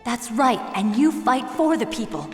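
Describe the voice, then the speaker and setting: A woman's voice: a little high, big pitch swings, normal speed. An actress playing a tough young female soldier in a video game, speaking in an earnest, candid, spirited way. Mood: earnest, probing. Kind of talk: dramatic video game voice acting. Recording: reverberant hall with music behind.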